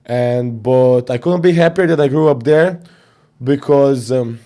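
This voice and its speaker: A young man from Serbia, a boxing coach, chatting casually as an interview guest in animated storytelling fashion. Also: mid-range voice, measured pace. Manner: animated storytelling with slang fillers.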